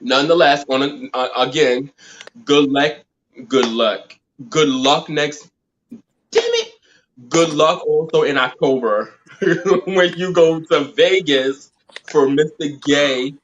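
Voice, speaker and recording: A man's voice: fairly high pitch, sing-song, slow, a bit bright, muffled recording, slight background noise. A man in his forties chatting casually on a panel, talkative and laughing easily while stumbling over his words. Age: 40s. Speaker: panelist, drag performer.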